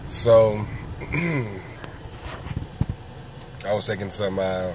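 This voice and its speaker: A man with a sore throat and a raspy, hoarse voice, talking slowly in a rambling casual monologue, often clearing his throat. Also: fairly low pitch, little pitch movement, noisy background. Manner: rambling, slow, many ums and throat clearing. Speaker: vlogger.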